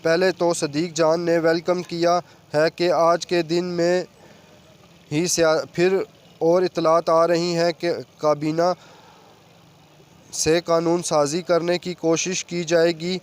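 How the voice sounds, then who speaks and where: High-pitched, flat-toned male news commentator. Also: slow pace, clean tone. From Pakistan.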